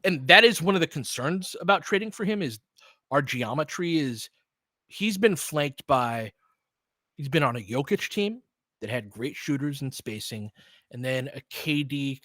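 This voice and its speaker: A man's voice: medium pitch, animated, a little slow, pushed and bright, dry recording, clean studio sound. A man hosting a casual live basketball show, conversational and opinionated, chatting with listeners in informal, slangy language. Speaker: host of a basketball audio play-by-play show. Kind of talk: casual live sports discussion with loose play-by-play.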